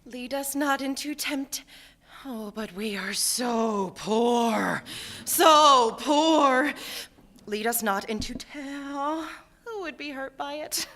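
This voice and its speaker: An actress playing a fretful, sharp-tongued, righteous small-town wife in a live radio drama, with emphatic theatrical delivery. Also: female voice, sits high, takes it slowly, heavily acted. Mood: tempted, torn. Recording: live stage recording before audience.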